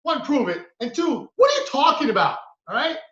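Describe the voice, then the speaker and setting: A man from the United States doing a stand-up comedy routine. He tells his stories with animated energy and acts out other people's voices. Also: very high-pitched, fairly quick, roomy sound, highs cut off. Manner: animated storytelling, acts out his mother and friend.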